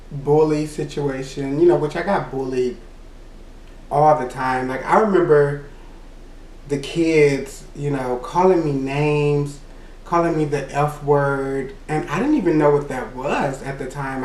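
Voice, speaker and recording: A man with a high voice telling a personal story in a casual, rambling way, full of "you know" and quick laughs. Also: roomy sound, far from the mic, a little hiss or hum. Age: adult. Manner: laughs between remarks. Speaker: vlogger.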